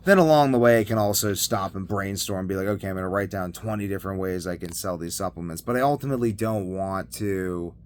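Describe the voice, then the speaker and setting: A man talking casually as a video blogger in a rambling monologue, halting and often trailing off mid-thought. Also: low-pitched. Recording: noticeable background noise.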